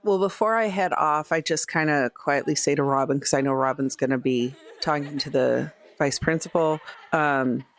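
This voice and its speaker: A woman's voice: low voice, moderate pace, hardly any pauses. Woman casually narrating tabletop game moves. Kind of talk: casual narration of a character's actions.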